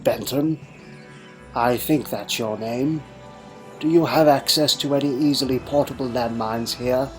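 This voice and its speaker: A man voicing a calm, curious gentleman scientist hero in a fan sci-fi audio story, polite and measured. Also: medium pitch, soft background music, lightly acted.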